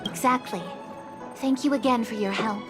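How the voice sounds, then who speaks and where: A woman's voice: on the high side, moderate pace, loud music behind the voice, close to an everyday voice. A woman voicing a composed young officer in a video game, formal, earnest and polite. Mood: businesslike. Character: dutiful, composed young female city officer. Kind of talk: video game English dub acting.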